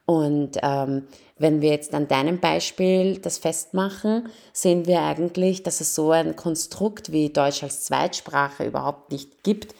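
A woman's voice: low-pitched, unhurried, vocal fry, darkish tone. A woman, interviewing a guest and explaining ideas about language teaching. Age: 30s. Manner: explanatory, lecturing on multilingual teaching. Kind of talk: casual interview.

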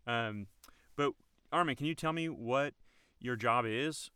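A man's voice: medium pitch, unhurried, bright, forceful tone, clean tone, no echo, studio quality. A man hosting a podcast, chatting casually and rambling with lots of "like" and "um". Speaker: podcast host. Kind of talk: casual chat.

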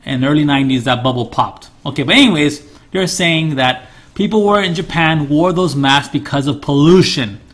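A man's voice: a little high, moderate pace, fairly bright tone. A man, chatting casually and rambling through stories, correcting his co-host's English. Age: 40s. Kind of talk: casual chat.

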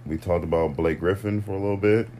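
A man's voice: low-pitched, quick pace, dark tone, slight background noise. A man co-hosting a podcast in casual chat, laid-back and terse, saying little and explaining less. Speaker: podcast co-host.

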